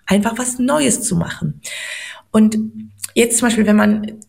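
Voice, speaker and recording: A middle-aged woman from Poland, a guest on a podcast, talking in an earnest, encouraging way and slowing down to stress her points. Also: mid-range voice, vocal fry, darkish tone. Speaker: podcast guest, money coach. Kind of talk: motivational podcast talk.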